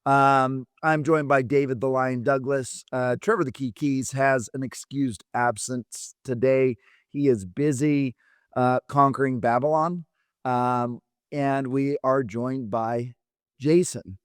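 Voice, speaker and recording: A man hosting a podcast interview, talking in a relaxed, rambling way with fillers while his voice is wearing out. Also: mid-range voice, slow pace, clean tone, no echo, studio quality. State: losing his voice. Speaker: podcast host. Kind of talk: conversational interview.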